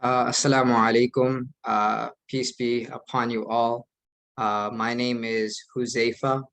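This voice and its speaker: A young man, an imam raised in the United States, giving an earnest, measured presentation to an online group. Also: mid-range voice, little pitch movement, vocal fry, a bit bright. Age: young adult.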